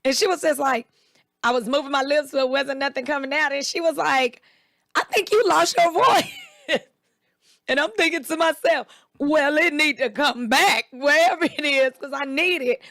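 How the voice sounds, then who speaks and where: A woman with a raspy, slightly hoarse voice, chatting casually as co-host and acting out the people in her stories. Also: high-pitched, normal speed, no room sound, studio quality. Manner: animated storyteller, quotes people in raised voices. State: recovering voice, a bit hoarse. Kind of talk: casual chat.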